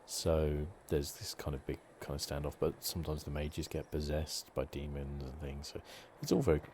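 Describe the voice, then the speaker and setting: A man giving soft, relaxed ASMR commentary over a video game. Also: extremely low pitch, moderate pace. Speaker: gaming channel host. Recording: clear background noise. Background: game ambience.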